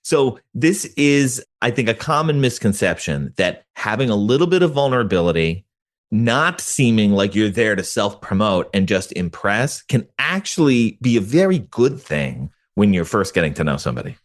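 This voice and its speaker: A man speaking as a guest expert in an interview, explaining research in an animated, colloquial way and acting out imagined quotes. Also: on the low side, normal speed. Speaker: guest expert, psychology professor.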